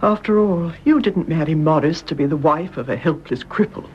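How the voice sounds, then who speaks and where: A British actress playing a kindly, dignified older mother-in-law in a radio drama, measured and genteel. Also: deep voice, muffled recording, slight background noise, close to an everyday voice. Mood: grave, sympathetic. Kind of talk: BBC radio drama acting.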